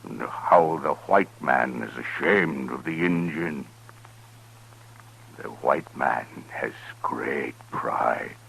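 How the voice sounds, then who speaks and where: Very deep, slow, solemn old-radio chief. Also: male voice, gravelly, fairly bright tone, slightly rough, exaggerated voice. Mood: solemn grief. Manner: solemn, formal, deliberate phrasing. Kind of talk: 1950s radio western acting. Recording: 1950s radio recording with faint noise.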